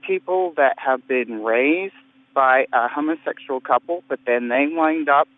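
A man calling in to a radio debate, speaking in a halting, hesitant way with frequent restarts. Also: medium pitch, no room sound. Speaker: caller. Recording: phone-line call.

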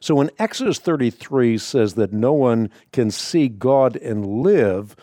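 Dutch-born guy hosting call-in radio, no accent, calm lecturing tone, dry close sound. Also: mid-range voice, dry recording. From Netherlands. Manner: measured, explanatory, lecture-like. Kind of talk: answering Bible questions on call-in radio.

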